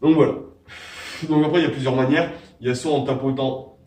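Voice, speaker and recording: A young man with a cold talks through a casual how-to tutorial, rambling and restarting as he goes. His voice sits at an ordinary pitch for a man. It sounds roomy and echoey, picked up from some distance away from the mic. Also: fairly even intonation, fast, a little mellow. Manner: rambling, many fillers and restarts. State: has a cold. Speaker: vape tricks tutorial host.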